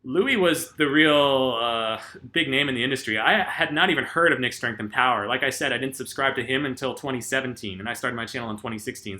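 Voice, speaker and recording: A man's voice: mid-range voice, pushed and bright. A man answering viewer questions in a casual vlog, rambling with lots of ums and uhs, laid-back and self-deprecating.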